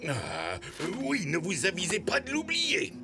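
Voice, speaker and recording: A flustered, eager-to-please cartoon sergeant. The voice is gravelly and leaps wildly in pitch, with hesitant, obsequious delivery. Loud music runs behind it. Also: male voice, wildly swooping pitch, unhurried, heavily acted. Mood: servile, flustered. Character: bumbling, servile army sergeant, nervous and eager. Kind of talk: animated cartoon acting.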